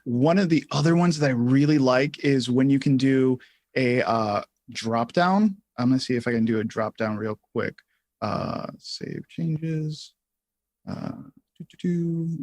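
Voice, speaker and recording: A man with a mid-pitched voice co-hosts a live software tutorial. He talks casually and haltingly, thinking aloud as he explains. The sound is close and dry, with very little room in it. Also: creaky, dry recording. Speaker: livestream co-host and software instructor.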